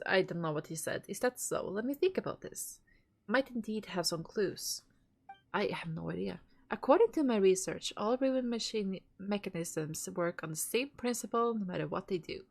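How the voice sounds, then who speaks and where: A woman with a stuffy nose streaming a game, reading its dialogue aloud and chatting casually with viewers, with the odd curse thrown in. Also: mid-range voice. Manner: reads lines aloud, swears and laughs between.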